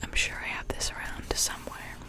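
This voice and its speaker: A woman's voice: a little fast, close to an everyday voice. Young woman as a whispering, kindly mermaid witch. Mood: attentive. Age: young adult. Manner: gentle, attentive, speaking directly to a customer. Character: kindly, helpful mermaid witch brewing potions. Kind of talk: ASMR roleplay, whispered.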